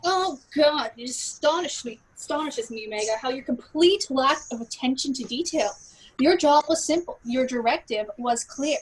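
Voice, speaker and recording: A woman's voice: sits high, expressive, moderate pace, slightly rough, low-quality recording. A woman playing a brash, foul-mouthed female secret service boss, sarcastic and scolding, in a comedy script read. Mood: exasperated.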